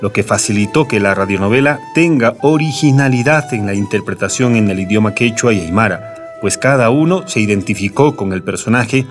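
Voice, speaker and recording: A man's voice: lowish voice, a little fast. A man reading scripted documentary narration. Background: music.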